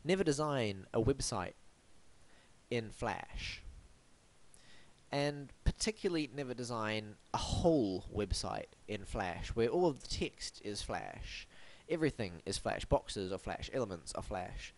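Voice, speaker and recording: A man's voice: lowish voice, expressive, a little slow. Wry, stuffy-nosed man reviewing websites. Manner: wry, theatrical, mock-formal. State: blocked up and sniffly from hay fever. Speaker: web design reviewer, host. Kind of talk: scripted web review monologue.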